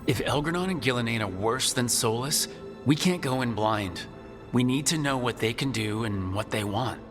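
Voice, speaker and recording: In a long, reverberant space with music underneath, a man plays a composed mage hero. He speaks at a middle pitch, measured and serious, like a resolute leader in game dialogue. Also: animated, close to an everyday voice. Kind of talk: video game dialogue acting.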